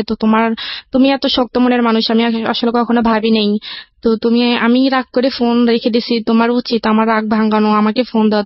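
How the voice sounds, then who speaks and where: A woman's voice: fairly high pitch, dry recording. A young woman with a Bangladeshi accent telling their life story in casual, detailed conversation as a guest on a radio show. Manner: recounts in detail with reported dialogue. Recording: dull, lo-fi broadcast audio.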